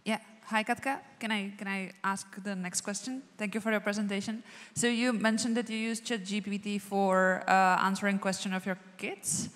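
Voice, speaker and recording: A woman's voice: medium pitch, level tone, normal speed, few pauses, a bit bright. A woman asking an informal question from the audience at a conference. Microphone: passed audience microphone. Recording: echoey concert hall.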